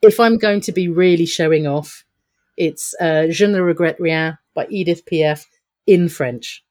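A woman's voice: on the low side, measured pace, a little mellow. A woman talking as a guest in an interview, upbeat and chatty, laughing often and restarting her sentences. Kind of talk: podcast interview answers.

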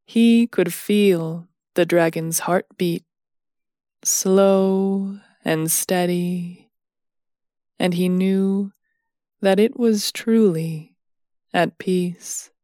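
A woman reading a scripted bedtime story in a soothing, measured manner, leaving long pauses between phrases. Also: on the low side, steady tone, warm tone, dry recording, studio quality.

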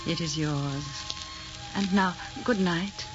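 A woman's voice: fairly low pitch, slow, over music. An actress playing a demure, guarded aristocratic lady in a formal, refined 1940s radio romance. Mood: polite, reserved. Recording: muffled old 1940s radio recording.

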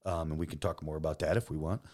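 A man with a deep, loud voice telling stories casually in a studio, joking and swearing as he acts out bits of dialogue. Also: steady tone, fast. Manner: joking, profane, frequent quoted dialogue. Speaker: podcast guest, injured police detective. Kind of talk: casual storytelling. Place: podcast studio.

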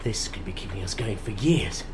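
A man playing a keen, wry investigator in an audio comedy drama, eager and quick to comment. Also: lowish voice, animated, natural voice. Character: keen, wry male investigator, the enforcer's partner. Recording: clear vehicle noise behind.

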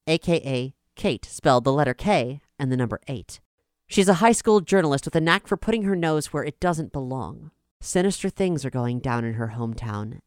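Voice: mid-range voice, no room sound, studio quality. A podcast host and player speaking as a narrator and conversation partner. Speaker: host and role-playing game player. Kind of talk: scripted promotional narration, then casual chat.

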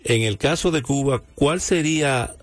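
A man hosting a radio interview, speaking in a measured way and often chiming in with short agreements. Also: medium pitch, soft background music.

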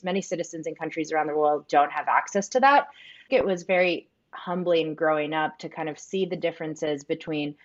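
A woman's voice: low voice, muffled recording. A woman whose first language is Spanish, a company co-founder answering interview questions earnestly, with frequent "um" and "like". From United States. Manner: earnest, reflective, frequent fillers like "um" and "like".

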